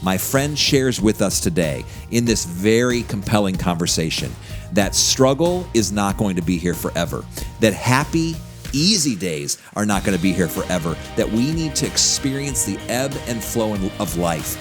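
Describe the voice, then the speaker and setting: Podcast host: man, lowish voice, earnest measured phrasing, faint music underneath. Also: big pitch swings. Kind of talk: podcast introduction and interview. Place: studio.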